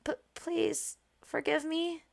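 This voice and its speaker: A woman voicing a timid, apologetic young maid who speaks softly, stammering and pleading hesitantly. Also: high-pitched, completely flat delivery, measured pace, gravelly, pitched above the performer's own voice. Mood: meek.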